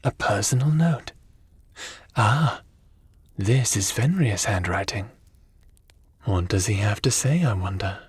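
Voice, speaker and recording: A man's voice: lowish voice, slow pace, pauses often, breathy edge, no room sound, full character voice. A man playing a courteous, scholarly traveling sage in a fantasy audio roleplay, speaking formally and thoughtfully. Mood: pensive, curious. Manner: polite, pondering, formal.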